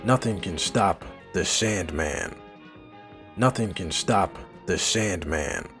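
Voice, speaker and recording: A man's voice: low-pitched, expressive, slow, a bit bright, soft background music. A man narrating a comic book dub from a script in a dramatic, theatrical showman's manner.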